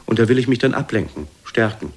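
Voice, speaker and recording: A man's voice: medium pitch, animated, fast, husky. An actor playing a meek, despondent ageing womanizer in a radio play, pleading apologetically and defensively with his mother. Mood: remorseful, sad. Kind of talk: German radio play acting.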